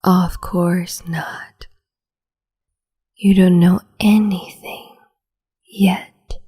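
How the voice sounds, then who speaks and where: A woman playing a cruel, capricious empress in an ASMR roleplay, whispering in a slow, teasing, domineering way. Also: on the low side, level tone, dark tone, heavily acted. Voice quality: breathy whisper.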